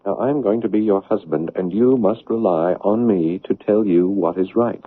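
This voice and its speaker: Earnest, controlling man in vintage radio drama: low, slightly rough voice, measured, insistent delivery. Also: dry recording. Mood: tender, insistent. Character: earnest, controlling, self-righteous son and fiancé. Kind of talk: 1970s radio drama acting and confessional narration. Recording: muffled, phone-like old radio broadcast.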